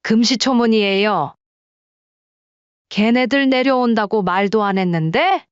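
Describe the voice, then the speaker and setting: A woman's voice: on the high side, unhurried, clear, resonant tone, no room sound, lo-fi sound, natural voice. A woman voicing a widowed older farm mother who is wary and blunt with her sons. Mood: surprised.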